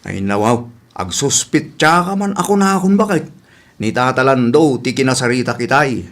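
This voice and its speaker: A man's voice: medium pitch, expressive, moderate pace, close to an everyday voice. A man acting an easygoing older father and farmer in a comedy radio drama, with an Ilocano accent. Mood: matter-of-fact.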